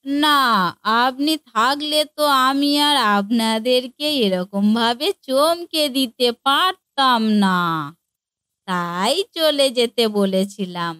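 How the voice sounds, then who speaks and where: A woman's voice: high voice, fairly bright tone, dry recording, clean studio sound. A woman voicing a naive, eager young daughter-in-law in a family comedy cartoon, speaking in a simple, earnest way. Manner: slow, simple-minded, earnest delivery.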